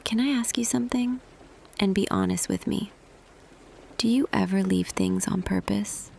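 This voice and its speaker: A woman playing a tender, quietly smitten office boss in an intimate ASMR roleplay, speaking softly and deliberately, often half whispering. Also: medium pitch, gravelly, slight background noise. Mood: hushed, intimate. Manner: slow, hushed, deliberate, pausing. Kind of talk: ASMR roleplay audio, intimate scripted monologue.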